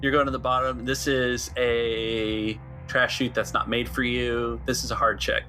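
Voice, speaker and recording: A man's voice: medium pitch, little pitch movement, a little slow, bright, forceful tone, soft background music. A man acting as game master, casually narrating a tabletop roleplaying game.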